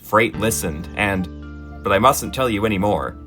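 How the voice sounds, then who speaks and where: A man narrating in a gentle, expressive children's storybook style, with dry asides. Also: lowish voice, normal speed, soft background music.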